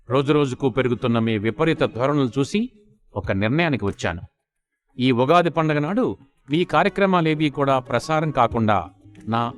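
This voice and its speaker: A grave, disembodied female celestial voice, acted out by a man in a comedy audio story. It scolds and warns in formal literary language, declaiming sternly with a lively rise and fall at a middling male pitch. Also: lightly acted.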